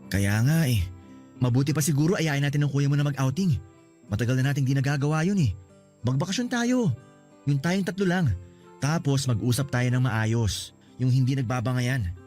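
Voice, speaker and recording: A man's voice: mid-range voice, lively intonation, moderate pace, darkish tone, soft background music, close to an everyday voice. A man with a Filipino accent playing a caring, teasing older brother in a radio drama. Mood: concerned, gentle.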